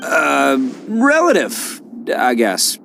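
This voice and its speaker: Cocky brash young game hero, flippant, swaggering, really animated, bit of music behind. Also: male voice, fairly high pitch, drawn-out words, vocal fry, heavily acted. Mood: confident, casual. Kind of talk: video game voice acting.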